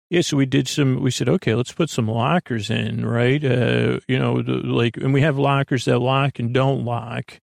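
A middle-aged American man hosting a sleep podcast in a soothing, meandering monologue, halting, interrupting himself and trailing off. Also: mid-range voice, gravelly, no echo, clean studio sound.

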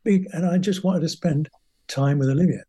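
Older English man, mellow and husky. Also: fairly high pitch, normal speed. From England. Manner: rambling, chatty, acts out quoted voices. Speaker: guest musician. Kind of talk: anecdotal interview storytelling.

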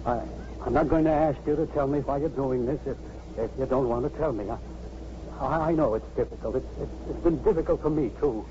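Man playing a mellow, guilt-ridden radio-drama father. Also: mid-range voice, natural voice. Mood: regretful. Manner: halting, stammering, apologetic. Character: hesitant, guilt-ridden, pleading middle-aged father. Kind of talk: 1950s radio drama acting. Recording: muffled, hissy 1950s radio recording.

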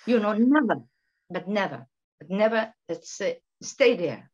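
Emphatic woman from Venezuela, halting delivery. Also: medium pitch, expressive, a little mellow. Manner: halting, many restarts and repetitions, emphatic. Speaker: guest, political researcher. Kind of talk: impassioned interview talk. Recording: dull lo-fi sound.

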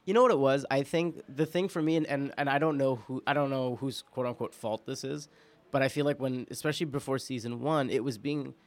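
A man from New York with an American accent, guest-hosting a casual podcast and thinking aloud haltingly, repeating words as he goes. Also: medium pitch. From New York, United States. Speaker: podcast guest host and producer. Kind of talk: casual chat.

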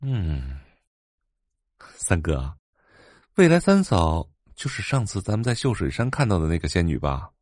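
A man playing an eager, chatty young sidekick in an audio drama, excitable and deferential. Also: low voice, a little slow, long pauses, dry recording, clean studio sound, exaggerated voice. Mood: eager, curious.

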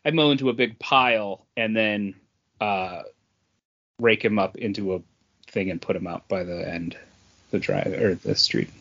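A man talking casually as a podcast co-host, halting often and pausing between short phrases. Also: lowish voice, fairly bright tone, highs cut off. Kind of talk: casual chat, informal beer tasting.

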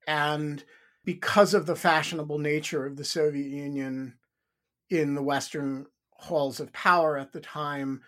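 A man hosting a podcast, asking a long, thoughtful question with halting restarts. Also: a little high, steady tone, fairly bright tone. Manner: thoughtful, halting, repeats words. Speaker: podcast host. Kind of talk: interview question.

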